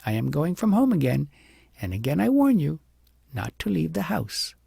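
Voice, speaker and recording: A man voicing a stern wise woman in a storybook, scolding a spoiled child in a measured, commanding, admonishing way. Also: on the high side, huge pitch swings, creaky, dark tone, breathy edge, no room sound, clean studio sound, pitched above the performer's own voice, exaggerated voice.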